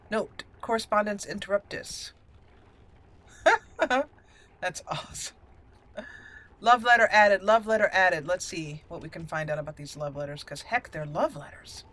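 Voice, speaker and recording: A woman giving playful, expressive let's play commentary, chatting and thinking aloud as she explores a game. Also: medium pitch. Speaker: gaming video host.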